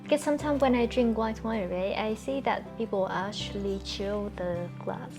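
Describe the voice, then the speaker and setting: A woman chats casually in the role of a host asking questions. Her voice sits at a medium pitch. Music is clearly audible behind her. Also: moderate pace. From Vietnam. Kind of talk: casual conversational interviewing.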